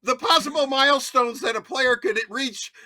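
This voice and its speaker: A man's voice: very high voice, moderate pace, bright, forceful tone, no room sound, clean studio sound. A man hosting a casual sports interview, asking playful, teasing, rambling questions. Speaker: sports show host.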